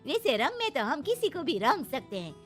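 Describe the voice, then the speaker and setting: A woman playing a cheerful, sharp-tongued young daughter-in-law with a bold, teasing manner in a cartoon story. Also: sits high, sing-song, normal speed, soft background music. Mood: playful coaxing. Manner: bold, sassy, teasing, with tag questions. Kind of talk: animated Hindi moral story acting.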